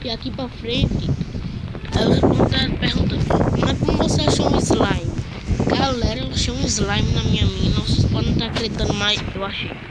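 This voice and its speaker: A man's voice: very high-pitched, normal speed. A male gameplay youtuber with a Brazilian Portuguese accent who has a cold, rambling and apologizing to his viewers while he plays. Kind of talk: casual game commentary. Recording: loud wind noise on the mic.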